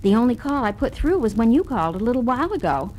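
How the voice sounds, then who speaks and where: An actress playing a chatty, helpful office secretary in an audio adventure. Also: female voice, fairly high pitch, big pitch swings, fast talker, poor audio. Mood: puzzled. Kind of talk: 1970s audio adventure acting.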